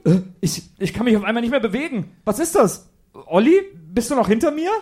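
A man's voice: very high voice, sing-song, brisk, a little mellow, slight background noise. A man playing an earnest, agitated boy detective in a comedic audio play. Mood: alarmed. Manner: agitated, hesitant.

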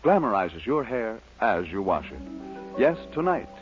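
A man's voice: on the low side, big pitch swings, unhurried. A man reading a scripted 1940s radio commercial as an announcer. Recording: muffled old radio recording, faint music.

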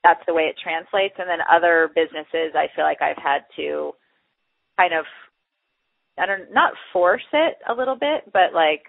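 A woman's voice: sits low, moderate pace, creaky, no room sound. A woman podcast host talking in a rambling, chatty way with frequent laughs and fillers. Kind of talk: casual interview chat. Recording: tinny phone-like line.

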